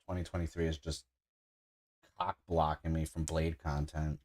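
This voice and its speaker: Man, 30s, extremely low voice, chatty, rambling livestream builder. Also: level tone, normal speed, a little mellow. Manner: rambling, enthusiastic, frequent "like" fillers. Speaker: streamer building a model kit. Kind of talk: casual livestream chat while building.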